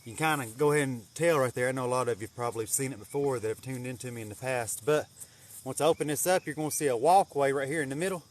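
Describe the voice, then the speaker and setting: A man's voice: medium pitch, fast. A man chatting casually on an outdoor livestream as he gives a garden tour, with a folksy, rambling manner and some mild swearing. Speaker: livestream host showing his greenhouse garden.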